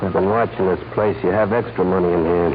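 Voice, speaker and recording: A man's voice: low voice, steady tone, fast, close to an everyday voice. An actor playing a boastful, glib gunman who passes as a sweet talker, in 1940s radio crime drama style. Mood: cocky, menacing. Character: cocky, vicious gunman posing as a smooth-talking sweetheart. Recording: noisy, tinny 1940s radio recording.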